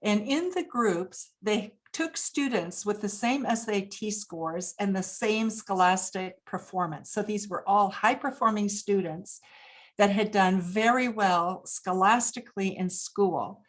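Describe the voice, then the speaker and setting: Deliberate woman explaining, medium pitch. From Japan, grew up there. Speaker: interview guest, business expert. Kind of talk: explanatory interview talk.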